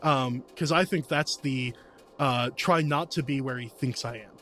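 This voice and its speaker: A man's voice: mid-range voice, unhurried, fairly bright tone. A man playing a tabletop game, casually narrating his character's moves and working through dice checks aloud.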